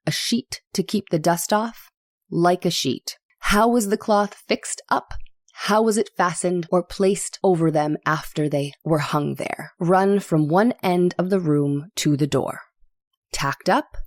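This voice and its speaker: A woman's voice: fairly low pitch, darkish tone, dry recording, studio quality. A woman acting an insistent, skeptical male defense attorney who presses a witness with pointed questions in a courtroom transcript drama. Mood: probing, insistent. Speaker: voice actor.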